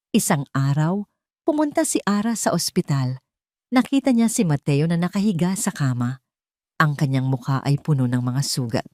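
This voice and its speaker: A woman's voice: low voice, mellow, dry recording, studio quality. A woman reading a scripted romance story aloud in an earnest, dramatic storytelling manner.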